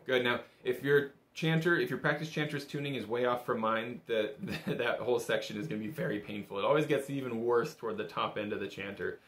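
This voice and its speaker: A man's voice: medium pitch, brisk, hardly any pauses, background noise. A young man teaching a casual music lesson in an encouraging, easygoing, conversational way. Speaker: bagpipe instructor. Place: small indoor room, seated at a table. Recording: roomy indoor echo.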